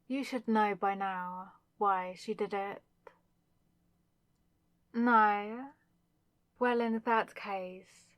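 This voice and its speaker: A woman's voice: medium pitch, no echo, close to an everyday voice. A woman playing a haughty, villainous spirit in a possessed body, taunting and theatrical with mock sweetness, in a scripted ASMR roleplay. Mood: amused, taunting. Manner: taunting, theatrical, mockingly sweet, savouring words.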